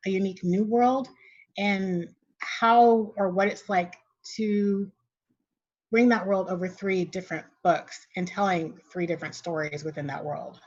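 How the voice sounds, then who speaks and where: A woman moderator poses a question to a panelist, polite and a bit hesitant, full of "um"s. Her voice is mid-pitched, and the sound is dull and lo-fi. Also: steady tone, unhurried, darkish tone.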